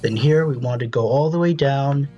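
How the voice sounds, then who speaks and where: Man narrating a software tutorial, mid-pitched. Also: moderate pace, dull audio, soft background music. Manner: casual, instructional. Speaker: tutorial presenter.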